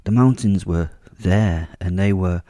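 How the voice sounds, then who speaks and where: Man, deep, offhand and chatty, dark humor, dry close sound. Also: unhurried, no echo. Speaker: podcast host and narrator. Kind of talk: first-person horror storytelling with casual asides.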